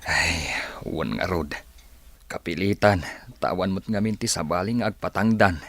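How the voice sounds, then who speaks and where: A man's voice: deep voice, normal speed, a bit bright, no echo. A man playing a poor, underpaid farm labourer and father in a radio drama, plaintive in manner. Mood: resigned. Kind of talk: Filipino radio drama acting.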